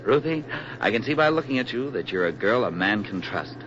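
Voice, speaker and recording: An actor playing an affable, eager young army private on furlough in a folksy, talkative 1940s radio drama style. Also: male voice, lowish voice, animated, fairly quick, lightly acted. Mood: cheerfully persistent. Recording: muffled, noisy old 1940s radio recording.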